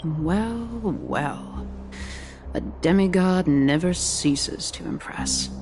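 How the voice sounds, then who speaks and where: A woman voicing a scheming council elder in a video game, smug and formal with mock courtesy and quiet menace. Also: sits low, big pitch swings, extremely slow, faint music behind, full character voice. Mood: smug, probing. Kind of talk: game voice acting.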